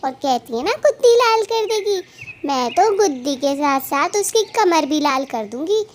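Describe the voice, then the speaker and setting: Woman voicing a high-pitched, scolding cartoon bird lady. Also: expressive, moderate pace, slight background noise. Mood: angry. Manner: scolding, emphatic, taunting. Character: quarrelsome, hot-tempered female cartoon birds trading insults. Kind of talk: animated cartoon dialogue.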